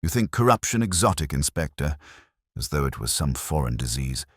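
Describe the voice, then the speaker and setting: A man voicing a cold, evasive older male town official in a measured, formal, condescending way. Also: a bass voice, sing-song, husky, dry recording, clean studio sound, heavily acted. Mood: sharp, defensive.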